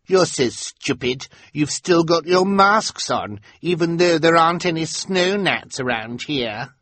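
A man's voice: a little high, animated, measured pace, a bit bright, no echo, voice pushed up. A male narrator voicing a rude, bossy young girl who insults strangers. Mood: snotty. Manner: snotty, insulting.

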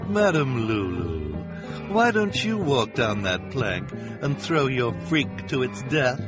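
A man's voice: mid-range voice, very animated, takes it slowly, over music, pitched above the performer's own voice. A man voicing a spiteful woman villain in a storybook, snide and falsely sweet. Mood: false sweetness. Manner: saccharine, snide.

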